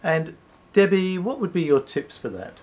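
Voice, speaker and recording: Measured man with lively pitch. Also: dull audio. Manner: measured, deliberate, slows to stress points. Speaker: co-host. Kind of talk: scripted conversational presenting.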